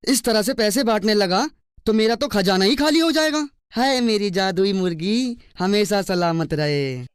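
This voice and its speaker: Woman playing a clear-voiced greedy rich man. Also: mid-range voice, lively intonation, moderate pace, dry recording, studio quality, lightly acted. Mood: grumbling, possessive. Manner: dismissive, grumbling. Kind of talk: children's cartoon story dialogue.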